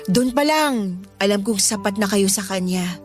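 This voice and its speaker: A woman's voice: mid-range voice, lively intonation, measured pace, soft background music, natural voice. A woman playing a sensitive, grateful young woman in a Filipino radio drama, respectful and emotional. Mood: grateful, comforting. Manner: soft-hearted, respectful, emotional.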